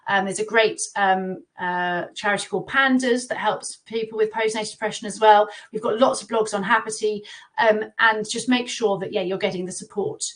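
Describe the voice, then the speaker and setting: A woman's voice: medium pitch, steady tone, normal speed. A woman from the UK speaking casually as a guest in a live Q&A, chatty and reassuring with many fillers. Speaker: guest from a baby class platform. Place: remote live video call.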